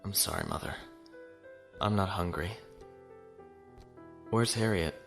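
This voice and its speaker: A man acts out a young noble naval lieutenant in a sci-fi audio drama. His voice sits fairly low, with formal, earnest delivery and stubborn principle. Faint music plays behind him. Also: slow, a bit bright, full character voice. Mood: troubled, subdued.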